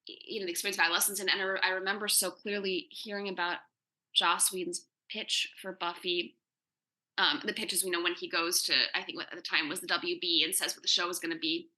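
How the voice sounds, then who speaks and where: A woman with a strikingly bright, forward voice talks as a guest writer on a panel. She thinks aloud, stopping and doubling back on words as she builds each idea. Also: fairly low pitch, little pitch movement, poor audio. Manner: halting, repeats words while forming thoughts. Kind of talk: thoughtful panel discussion.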